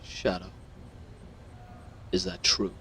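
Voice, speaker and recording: A man voicing a captive young werewolf hero, gruff, defiant and wounded, in an audio roleplay. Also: sits low, completely flat delivery, takes it slowly, fairly bright tone, in a large hall, pitched below the performer's own voice, heavily acted. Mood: hurt.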